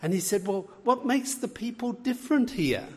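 A man's voice: high-pitched, expressive, moderate pace, husky. A middle-aged man with an Australian accent, giving an earnest lecture to a church audience, with frequent fillers and restarts. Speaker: guest speaker, health researcher. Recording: long reverb of a large hall.

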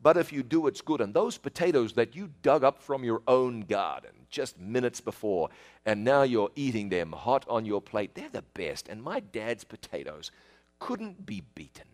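A man's voice: mid-range voice, very animated, normal speed, a little mellow. A middle-aged man with a New Zealand accent, telling childhood stories in a folksy, chatty way and speaking straight to the listener. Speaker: storyteller. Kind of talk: casual spoken reminiscence.

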